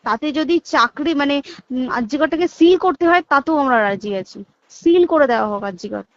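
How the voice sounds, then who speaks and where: A woman's voice: sits high, expressive, normal speed, dry recording. A young woman with a West Bengal Bengali accent talks casually but insistently on a recorded phone call, often asking emphatic rhetorical questions.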